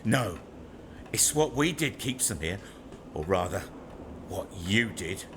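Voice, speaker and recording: A man's voice: fairly low pitch, sing-song, slow, fairly bright tone, a little hiss or hum, exaggerated voice. A man playing a weak, remorseful, stammering master of the house in an audio play. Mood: guilty, pleading. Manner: stammering, hesitant, protesting. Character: weak, remorseful manor master, hesitant and exasperated.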